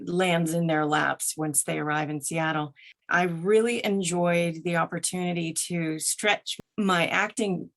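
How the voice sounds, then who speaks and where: A woman answering as an interview guest, reflecting on her work with careful, deliberate phrasing. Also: fairly low pitch, fairly bright tone. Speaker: guest audiobook narrator and voice actor.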